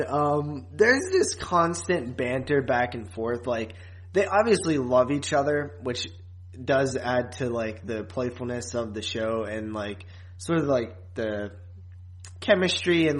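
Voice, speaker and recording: A man's voice: medium pitch, expressive, a little slow. A man co-hosting a podcast, recapping a TV series in casual, rambling, informal talk. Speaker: podcast co-host.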